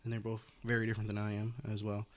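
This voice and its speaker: A man in his twenties hosting a podcast, chatting casually and rambling through stories in quick bursts. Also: fairly low pitch, completely flat delivery. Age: 20s. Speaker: podcast host. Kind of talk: casual interview chat.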